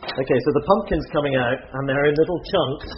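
A man's voice: fairly high pitch, a little fast, dull audio, slight background noise. An English man, an author, talking enthusiastically and chattily while cooking in a home kitchen. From England. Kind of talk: casual interview talk while cooking.

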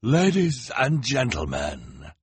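A man voicing a vain, scheming male villain who talks like a theatrical showman, loud and gloating. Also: medium pitch, wildly swooping pitch, slow pace, breathy edge, dry recording, voice pushed up, heavily acted.

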